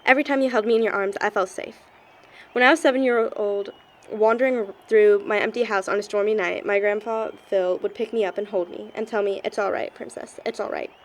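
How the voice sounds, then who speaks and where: A woman's voice: mid-range voice, fairly even intonation, brisk, close to an everyday voice. An actress playing a hot-tempered, hurt young woman in an amateur audio drama, with a biting, emotional delivery. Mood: regretful tender.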